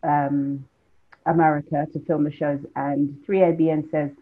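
A woman from London explains her story step by step in a measured, conversational way. Her voice comes through thin and phone-like over a video call, with a husky edge. It sounds like a webcam mic in a home room. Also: low voice, little pitch movement. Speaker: interview guest, cooking show presenter.

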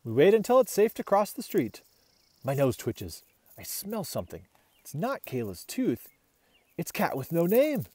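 Very animated, high-pitched man reading a storybook. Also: a little slow, slightly rough, dry recording. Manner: expressive, dramatic. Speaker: storybook reader. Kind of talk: animated children's storybook narration.

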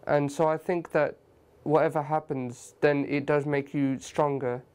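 A man's voice: a little high, little pitch movement, unhurried, darkish tone, clean tone. A young man with an English accent chatting loosely in a documentary interview, with many fillers.

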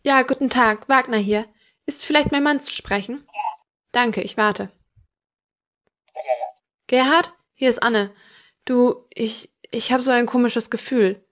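A woman's voice: on the high side, a little slow, pauses often, a bit bright. Anxious mother, slightly muffled. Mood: uneasy. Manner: hesitant, anxious. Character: worried mother on the telephone. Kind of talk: naturalistic radio play acting.

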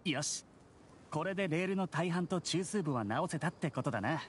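An actor playing a fussy, articulate, condescending young engineer in an anime game. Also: male voice, fairly high pitch, animated, moderate pace, a little hiss or hum, close to an everyday voice. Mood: polite, composed. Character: fussy, sarcastic young bespectacled engineer brother.

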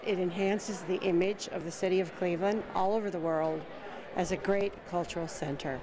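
A woman's voice: fairly low pitch, fairly even intonation, a little fast. A woman giving interview remarks. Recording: crowd noise behind. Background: crowd.

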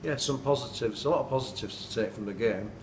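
A man's voice: mid-range voice, fast talker, far from the mic. A middle-aged man, a football manager giving a plain-spoken, earnest post-match interview indoors at night. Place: indoors at night, dim room. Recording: echoey room, off-mic, noisy.

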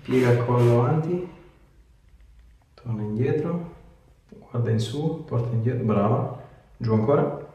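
A man's voice: medium pitch, measured pace, long pauses, dark tone. A man in his thirties, a chiropractor giving short hands-on instructions and chatting casually with a patient. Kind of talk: calm hands-on treatment instructions with casual chat. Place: small tiled treatment room, indoors. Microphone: small wireless clip-on mic on chest. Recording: echoey room, distant, some noise.

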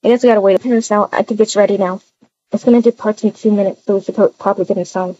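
A woman's voice: medium pitch, fairly even intonation, rapid-fire, warm tone, muffled recording. A woman improvising a voiced video-game roleplay, chatting and narrating her own actions aloud.

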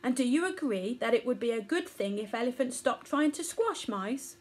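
A woman's voice: a little high, expressive, moderate pace, close to an everyday voice. A woman voicing a small, friendly, plucky grown-up mouse in a children's story. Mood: matter-of-fact.

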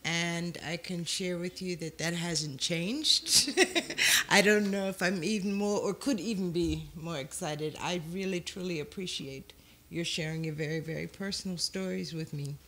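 A woman's voice: fairly low pitch, unhurried, hardly any pauses, fairly bright tone. A woman moderating a conference panel, thanking the speakers and inviting questions from the floor.

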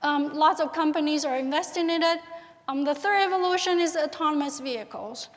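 A woman in her thirties giving a conference presentation, with somewhat halting phrasing. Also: high voice. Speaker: conference speaker, researcher. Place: large auditorium stage. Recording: echoey auditorium reverb.

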